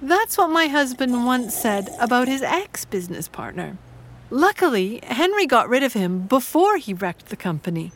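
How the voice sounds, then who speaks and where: Actress as genteel middle-aged lady, high voice, very animated intonation, precise, sceptical, dry wit, audio drama. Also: moderate pace, heavily acted. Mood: dryly pointed. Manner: formal, precise, dryly pointed.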